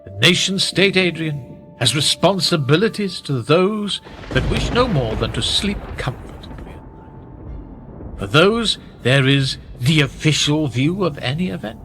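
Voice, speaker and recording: An actor playing a powerful, manipulative titled gentleman in a radio play, measured and condescending, with quiet menace. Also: fairly high pitch, lively intonation. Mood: smugly knowing. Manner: measured, condescending, with rhetorical tag questions. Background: music.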